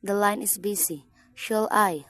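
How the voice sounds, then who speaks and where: A woman's voice: mid-range voice, a little slow, natural voice. Woman acting a businesslike switchboard operator. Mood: formal, routine. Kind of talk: radio play acting.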